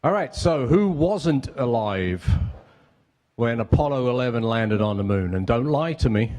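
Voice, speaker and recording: A man's voice: medium pitch, expressive, a little slow, a little mellow. A man in his fifties from England speaking to a live audience, joking and bantering the crowd. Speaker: guest speaker. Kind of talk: public lecture. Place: museum cafe with audience.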